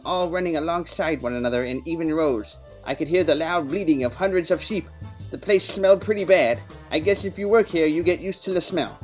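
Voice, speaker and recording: An actor playing a quirky, earnest detective who narrates an audio play in matter-of-fact, nerdy game jargon. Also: male voice, a little high, natural voice. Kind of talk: scripted first-person audio play narration.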